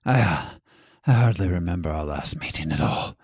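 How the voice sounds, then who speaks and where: An actor playing a scheming doctor in an audio drama, formal, deliberate and wry as he bargains. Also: low-pitched, lively intonation, a little mellow, breathy edge, no room sound, clean studio sound. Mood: smooth scheming. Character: scheming, duplicitous doctor, adult man, bargaining smoothly.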